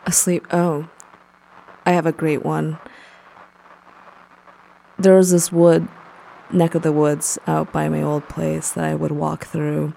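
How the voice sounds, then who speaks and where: A woman playing a blunt, deadpan lover of spooky things in an audio drama, speaking haltingly. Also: low voice, gravelly, slight background noise, exaggerated voice, low-quality recording. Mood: keen, eerie. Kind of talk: naturalistic audio drama storytelling.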